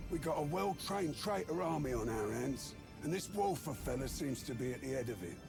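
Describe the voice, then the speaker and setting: An actor playing a gruff, no-nonsense soldier who briefs his squad in a video game, blunt and colloquial. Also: on the high side. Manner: blunt, colloquial, barking orders. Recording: music behind.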